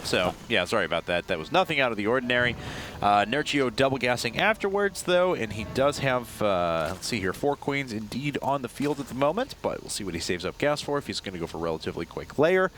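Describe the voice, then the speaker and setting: A man's voice: medium pitch, very animated, fast, fairly bright tone, a little hiss or hum. A man commentating a video game match live, sounding stuffed up from a cold, chatty and joking with lots of fillers. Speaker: esports caster.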